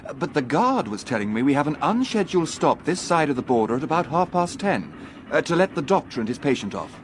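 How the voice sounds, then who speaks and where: An actor playing a courteous, sceptical English professor, precise and formal, with an English accent, in a radio drama. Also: male voice, on the high side, sing-song, fast, a little hiss or hum. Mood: courteous, hesitant.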